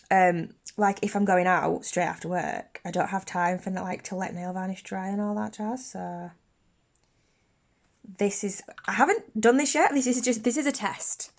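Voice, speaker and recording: A woman's voice: mid-range voice, creaky. Young woman, bubbly chatty vlogger, slight cold, indoor camera mic. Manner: chatty, bubbly, restarts mid-sentence. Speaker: beauty YouTuber. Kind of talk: casual nail tutorial vlog. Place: bedroom filming set, indoors.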